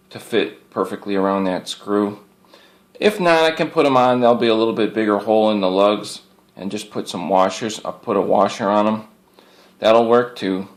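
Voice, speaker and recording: A man giving a casual, rambling product show-and-tell in a folksy, plainspoken way, often repeating his phrases. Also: fairly low pitch. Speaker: hobbyist presenter showing radio antenna gear.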